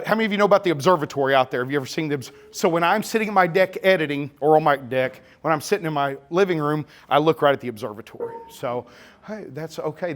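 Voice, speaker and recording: A middle-aged man with a slurry Texas-Oklahoma drawl giving a chatty, teasing live photography talk to a full room. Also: on the high side. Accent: Texas-Oklahoma blend. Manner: joking, teasing, sarcastic, chatty. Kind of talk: live presentation to an audience. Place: camera store event room with audience.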